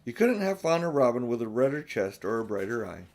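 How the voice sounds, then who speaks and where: A man's voice: mid-range voice, very animated, a little fast, a little mellow. Older man reading a storybook. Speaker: audiobook reader. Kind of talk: storybook narration read aloud.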